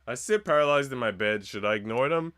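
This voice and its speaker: A man's voice: mid-range voice, animated, brisk, a bit bright, no room sound, studio quality. A man reading a visual novel aloud as a streamer, narrating the script and dropping in slangy, joking asides.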